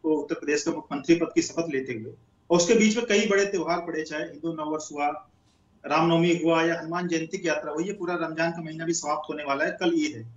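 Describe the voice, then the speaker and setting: A man's voice: fairly high pitch, steady tone, fast, reverberant, highs cut off. A man speaking as a panelist in a televised debate, defending the government's record assertively. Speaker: debate panelist for the ruling party. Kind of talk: TV debate argument.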